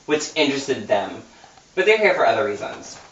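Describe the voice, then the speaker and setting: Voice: medium pitch, very animated, moderate pace, dull audio. A giggly, tipsy amateur reader doing a casual dramatic read-aloud of a novel and stumbling over words. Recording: roomy, noisy, distant mic.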